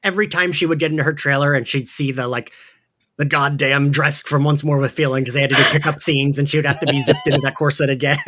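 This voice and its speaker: A man's voice: on the high side, fairly even intonation, quick pace, pushed and bright. A man hosting a podcast in casual chat, informal and sweary, prone to giggling. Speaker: podcast host.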